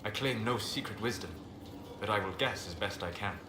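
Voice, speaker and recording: Man playing a low-voiced, scholarly elven mage. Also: natural voice. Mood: measured, courteous. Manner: measured, formal, precise phrasing. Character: calm, scholarly male elven mage advisor, formal and reserved. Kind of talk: video game dialogue acting. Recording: roomy echo, clear background noise.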